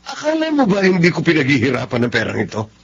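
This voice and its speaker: An actor playing a stubborn, self-justifying middle-aged gambler husband in a Filipino radio drama style. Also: male voice, fairly high pitch, wildly swooping pitch, fast, gravelly, breathy edge, heavily acted. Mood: defensive, frustrated. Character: stubborn, defensive gambling husband and father, tired of work. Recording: dull lo-fi radio drama audio. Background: faint music.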